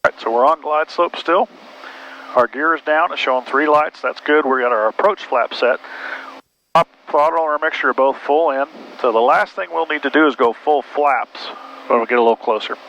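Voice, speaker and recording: A middle-aged American man, a pilot, calmly explaining things in casual cockpit talk and radio calls. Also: on the high side, moderate pace. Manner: calm, explanatory. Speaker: pilot and flight mentor. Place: small airplane cockpit in flight. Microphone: aviation headset. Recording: muffled aviation headset, cockpit noise.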